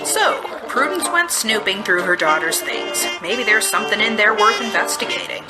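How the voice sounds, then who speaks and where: A woman playing a wry, down-on-their-luck androgynous private detective in a musical adventure game, musing and deadpan. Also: medium pitch, normal speed, lightly acted. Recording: loud music behind voice. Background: loud music.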